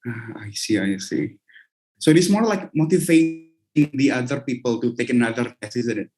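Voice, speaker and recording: A man's voice: medium pitch, expressive, normal speed, rough recording. A man from Indonesia with an Indonesian accent, moderating an online webinar, asking questions and giving short acknowledgements over a video call. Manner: brief backchannels, friendly questioning.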